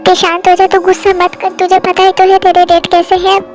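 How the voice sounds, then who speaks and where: A young reader voicing a worried middle-aged mother who tries to calm her daughter down, in a hushing, placating manner. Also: extremely high pitch, brisk, faint music behind. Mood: soothing.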